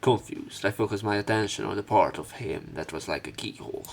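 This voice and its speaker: A man with a lowish voice does a let's play of a visual novel. He reads the text aloud theatrically and breaks off for his own commentary. His delivery is expressive. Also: a little fast, creaky, faint music behind. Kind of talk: dramatic read-aloud with commentary.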